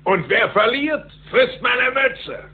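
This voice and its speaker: High-voiced gloating cartoon villain. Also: male voice, expressive, takes it slowly, full character voice. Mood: taunting. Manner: taunting, boastful. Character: gloating male rich villain rival in a duck cartoon. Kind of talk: German cartoon audio play. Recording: muffled narrowband cassette.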